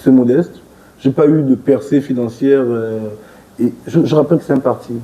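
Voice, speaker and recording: A man's voice: mid-range voice, moderate pace, dull audio. A man from Gabon, a party leader, answering pointed questions in a political TV interview, assertive and growing emphatic as he argues. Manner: assertive, rising emphatic when arguing.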